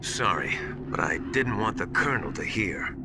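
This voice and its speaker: A man's voice: lowish voice, lively intonation, normal speed, noisy background. A man playing an earnest, anxious confidant in a video game, passing on secrets in a hesitant way. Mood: secretive. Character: earnest, anxious adult male confidant sharing secret intelligence. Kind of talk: dramatic video game voice acting. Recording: loud music behind the dialogue.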